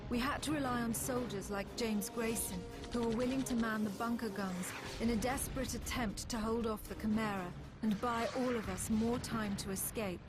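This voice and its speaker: A woman's voice: fairly high pitch, steady tone, normal speed, over music, lightly acted. An actress playing a solemn female narrator recounting a war in a video game.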